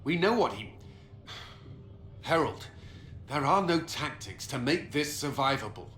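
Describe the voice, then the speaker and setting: A man's voice: mid-range voice, big pitch swings, unhurried, a bit bright. A man playing a grim, resolute commander in a fantasy game, speaking with grave authority. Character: grim, resolute military commander of a besieged force. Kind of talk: dramatic fantasy game acting. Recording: echoey, with faint ambient noise.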